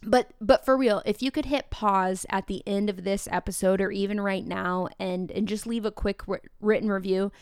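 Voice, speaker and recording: A woman hosting a podcast for teachers, talking in an energetic, chatty, enthusiastic way. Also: medium pitch, moderate pace, hardly any pauses, smooth, no echo, clean studio sound. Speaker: podcast host. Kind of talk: upbeat podcast talk to teachers.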